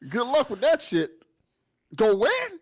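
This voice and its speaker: A man's voice: extremely high pitch, wildly swooping pitch, unhurried. A man hosting a casual interview, speaking in an emphatic, slangy, teasing way. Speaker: host. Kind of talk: casual, joking interview chat.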